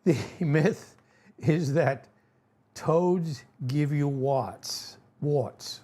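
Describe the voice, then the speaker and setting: A man's voice: a little high, very slow, dark tone. A man in his seventies with a Rhode Island accent, casually explaining animal myths in a folksy, rambling way with many fillers. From New England. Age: 70s. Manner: rambling, folksy, frequent fillers and self-corrections. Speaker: channel host. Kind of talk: casual educational talk to camera.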